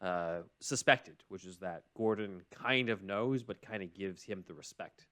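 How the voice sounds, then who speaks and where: Male podcast host, low pitch, explains things in an informal, conversational register. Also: measured pace, pushed and bright. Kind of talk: casual discussion and ad reads.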